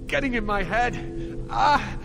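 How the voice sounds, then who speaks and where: A man's voice: very high-pitched, takes it slowly, creaky, music in the background, exaggerated voice. A man playing a delirious, exhausted young captive in a fantasy video game, suspicious and defiant. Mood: desperate, defiant. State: exhausted, strained.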